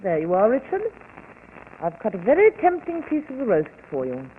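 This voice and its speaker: An actress playing a deranged, murderous wife in a dramatic, dazed manner for a 1940s radio mystery. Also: female voice, mid-range voice, wildly swooping pitch, fairly quick. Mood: ominous, controlled. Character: deranged murderous wife, eerily calm, breaking into hysteria. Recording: tinny, noisy old 1940s radio broadcast.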